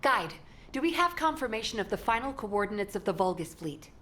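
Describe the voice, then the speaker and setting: An actress playing a capable, stern, dryly wry woman soldier with a brisk manner in a video game cutscene. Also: medium pitch, big pitch swings, a bit bright, slight background noise. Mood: exasperated, brisk.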